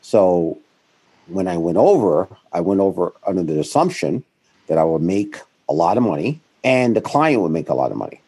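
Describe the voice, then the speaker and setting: A middle-aged man speaks as an interview guest in a very low, mellow voice with a husky edge. He rambles and corrects himself, and he livens up when he mimics other people. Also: very animated, moderate pace. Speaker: guest, founder of a financial firm. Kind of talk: conversational interview storytelling.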